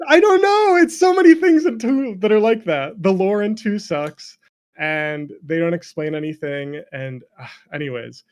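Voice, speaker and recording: A man's voice: high voice, very animated, moderate pace. A man in a casual, opinionated chat about video games, rambling and swearing freely as an interview guest. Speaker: interview guest, game developer.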